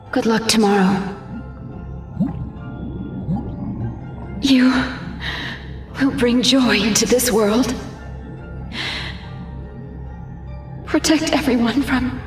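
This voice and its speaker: A woman voicing a gentle, hopeful expectant mother in a video game cutscene, tender and soft-spoken. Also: fairly high pitch, close to an everyday voice. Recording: echoey, music behind.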